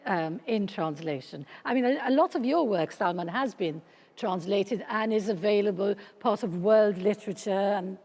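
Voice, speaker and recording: A woman asks questions in a hesitant, searching way, filling her pauses with "um". Her voice rings out with a long reverb, as if she is in a large hall. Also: medium pitch, a little mellow. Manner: hesitant, many "um"s. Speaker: interviewer. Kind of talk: panel interview.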